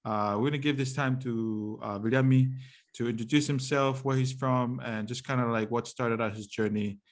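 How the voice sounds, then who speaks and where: Podcast host, male, Tongan first language, easygoing, lots of uhs, mid-range pitch. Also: moderate pace. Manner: relaxed, frequent fillers, explains for listeners. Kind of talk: casual podcast hosting.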